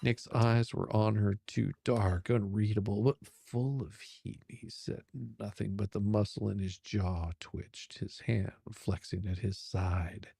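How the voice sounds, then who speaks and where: A man's voice: fairly low pitch, steady tone, measured pace, creaky, few pauses, dark tone, slightly rough, no room sound. A man narrating a romance story in a dramatic, suspenseful, sultry style.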